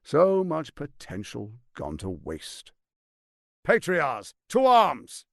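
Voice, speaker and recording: A male actor playing a suave, scheming, power-hungry lord in a video game, with a theatrical, measured, silky politician's delivery, ruthless and charming. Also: fairly high pitch, huge pitch swings, vocal fry, no room sound, clean studio sound, exaggerated voice. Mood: condescending, mocking. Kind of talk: dramatic video game voice acting.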